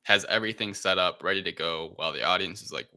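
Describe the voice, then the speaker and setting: Male podcast host, low-pitched and strikingly bright, forceful voice, loose informal recap delivery. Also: fast talker, no room sound. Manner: informal, loosely improvised retelling with quoted asides. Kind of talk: casual film recap chat.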